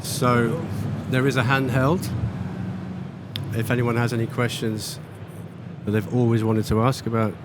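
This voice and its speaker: A man's voice: mid-range voice, flat delivery, unhurried. A man moderating a live panel at a trade show seminar, inviting questions from the floor. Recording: loud background noise.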